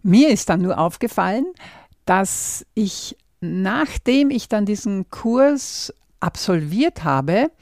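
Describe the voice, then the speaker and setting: A woman hosting a language-learning podcast, calmly walking the listener through a method step by step and speaking to them directly. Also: medium pitch, slow pace, no room sound, studio quality. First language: German. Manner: calm and explanatory, walks through numbered steps.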